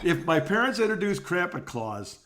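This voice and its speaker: Male co-host, very lively intonation, loose conversational delivery, tends to repeat phrases for emphasis. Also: high-pitched, normal speed. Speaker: podcast co-host. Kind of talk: casual conversational chat.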